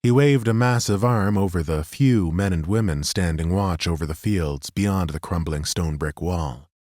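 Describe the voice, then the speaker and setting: A man reads a fantasy audiobook in a steady, even storytelling manner. His voice sits low, and the studio sound is dry and close. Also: normal speed, no room sound. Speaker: audiobook narrator. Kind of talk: scripted fantasy narration.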